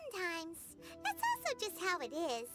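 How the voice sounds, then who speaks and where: A tiny floating companion with a very high, sing-song game voice that swoops up and down. She is chatty and exclamatory. Loud music plays behind her. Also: heavily acted. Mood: subdued. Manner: bright, quick, exclamatory. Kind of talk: video game voice acting.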